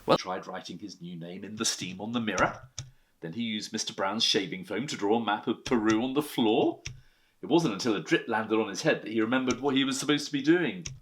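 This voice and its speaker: Male storyteller, low voice, expressive bedtime-story reading, noisy recording. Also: brisk. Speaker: headteacher reading stories to children. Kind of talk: animated storybook narration.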